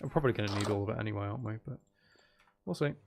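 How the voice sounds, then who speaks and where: Casual male game streamer with creaky voice. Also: fairly low pitch, very fast, noisy background. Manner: playful, teasing banter with chat. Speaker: streamer hosting a live gaming stream. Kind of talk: casual gaming livestream chat.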